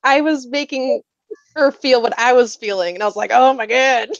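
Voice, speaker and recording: A woman's voice: a little high, moderate pace, no echo. A woman chatting casually in a livestream book discussion, with an informal delivery. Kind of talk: casual lively chat. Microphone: video call. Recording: dull, dry lo-fi call audio.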